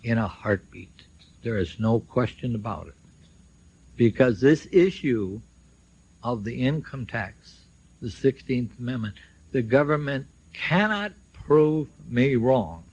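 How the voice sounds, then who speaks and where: Older man, slow pace, raspy voice, emphatic short statements, personal testimony speech, muffled low-fidelity recording. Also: animated, a little mellow. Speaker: speaker at a symposium, recounting his own legal case. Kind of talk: public speech, personal storytelling. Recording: dull lo-fi shortwave radio recording.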